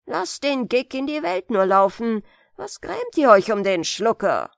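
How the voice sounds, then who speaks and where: A woman's voice: on the high side, big pitch swings, unhurried, creaky, no room sound, clean studio sound, heavily acted. A woman voicing a callous, scornful father in a fairy tale, speaking emphatically. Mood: scornful indifference. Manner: scornful, proverb-laden, emphatic. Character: callous, gruff father dismissing his wandering sons.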